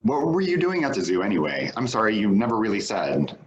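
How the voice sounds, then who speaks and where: A man's voice: fairly low pitch, wildly swooping pitch, brisk, lo-fi sound, a little hiss or hum. An actor playing a wry, inquisitive man hosting friends in a staged play reading. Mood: curious.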